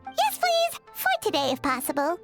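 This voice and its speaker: A woman voicing a small, excitable, childlike fairy companion with a squeaky, cutesy way of talking in a video game. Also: normal speed, over loud music, heavily acted. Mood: eager. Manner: cutesy, speaks of herself in the third person. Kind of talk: video game character acting.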